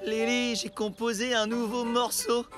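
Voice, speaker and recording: A woman's voice: a little high, level tone, measured pace, close to an everyday voice. A woman voicing a laid-back, vain young boy musician in a children's cartoon, easygoing and slangy. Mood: eager. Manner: easygoing, slangy, self-satisfied. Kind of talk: French animated children's cartoon dubbing. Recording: light music under the voice.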